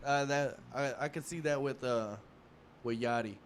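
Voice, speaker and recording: Relaxed male host, mid pitch. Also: normal speed, a little hiss or hum. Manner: relaxed, rambling, many restarts and fillers. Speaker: podcast host. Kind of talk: casual interview chat.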